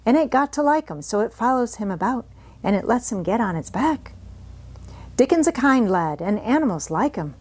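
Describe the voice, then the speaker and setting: A woman's voice: on the high side, big pitch swings, moderate pace, darkish tone, breathy edge, voice pushed up. A woman voicing a good-tempered, outspoken young housemaid who rattles on in homely dialect for a storybook. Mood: cheerful, chatty. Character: good-tempered, chatty young Yorkshire housemaid, plain-spoken country girl.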